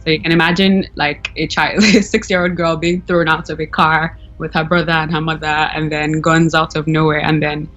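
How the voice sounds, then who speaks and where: A woman's voice: low voice, little pitch movement, normal speed, few pauses, a bit bright, highs cut off, faint music behind. A young woman from Nigeria, talking casually as a caller, with lots of fillers and self-corrections. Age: young adult. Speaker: anonymous caller. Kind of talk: casual interview.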